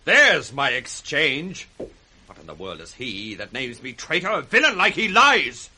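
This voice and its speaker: Ambitious villain lord, piercingly bright, wildly varied pitch, commanding, clipped, theatrical, old radio Shakespeare. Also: male voice, huge pitch swings, unhurried, breathy edge, heavily acted. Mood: defiant fury. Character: ambitious, cold, scheming villainous young nobleman. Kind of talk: 1960s radio Shakespeare acting. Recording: faint noise on old radio recording.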